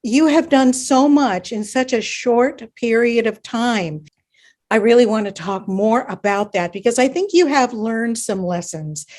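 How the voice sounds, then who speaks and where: A woman's voice: medium pitch, darkish tone. A woman hosting a radio interview show from Hawaii, speaking with enthusiastic, emphatic praise for her guest.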